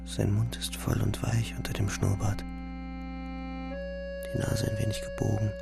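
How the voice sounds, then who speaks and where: An actor playing an anguished young soldier in a radio play, delivering a grave, halting, intense first-person monologue. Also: male voice, fairly low pitch, completely flat delivery, vocal fry, heavily acted. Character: anguished young male soldier recounting a killing in a shell hole. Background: music.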